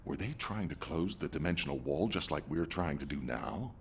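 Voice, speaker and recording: An actor playing a composed, authoritative military commander in a video game, giving measured orders. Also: male voice, expressive, slight background noise. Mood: questioning, serious. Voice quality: husky, deep. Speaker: actor voicing a game character. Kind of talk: scripted game dialogue.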